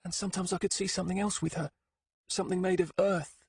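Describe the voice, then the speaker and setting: A man doing a hushed frightened boy. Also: sits high, little pitch movement, slight background noise, pitched above the performer's own voice. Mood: haunted confession. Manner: hushed, halting. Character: frightened young boy, haunted and hesitant.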